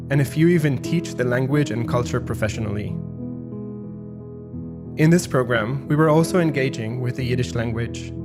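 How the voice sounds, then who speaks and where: A man hosting and narrating a podcast in a calm, measured, reflective way. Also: mid-range voice. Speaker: podcast host. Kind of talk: scripted narration. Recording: narration over guitar music.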